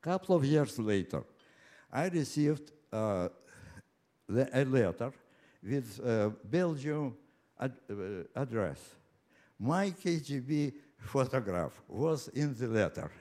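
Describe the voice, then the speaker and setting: A man of ninety-nine from Ukraine with a Russian accent, telling his own story live in a halting, deliberate way with repeated words and pauses. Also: medium pitch, lively intonation, a little mellow. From Ukraine, later Moscow. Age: 99, nearly one hundred. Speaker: personal storyteller. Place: live stage before an audience.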